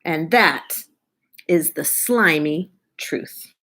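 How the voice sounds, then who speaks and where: Lady librarian reading a kids book out loud, slow pace, voice goes up and down a lot. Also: deep voice. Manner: animated, drawn-out, deliberate delivery. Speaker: children's librarian reading aloud. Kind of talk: expressive picture book read-aloud for children.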